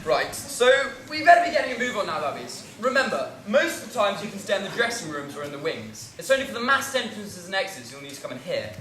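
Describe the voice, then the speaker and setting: A bossy, theatrical director of an amateur play, with a high-pitched voice that swings widely. He marshals his cast with exasperated patience in an echoey room. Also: very animated, fast talker, hardly any pauses. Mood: brisk, organising. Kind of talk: acted comedy drama dialogue. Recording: echoey room, some distance, faint noise.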